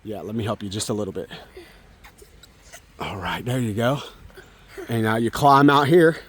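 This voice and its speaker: Encouraging dad chatting casually outdoors. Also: male voice, fairly low pitch, moderate pace, slightly rough. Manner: encouraging, informal, addresses child as man and bud. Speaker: father and vlogger. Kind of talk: casual chat with a young child. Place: outdoors at a park.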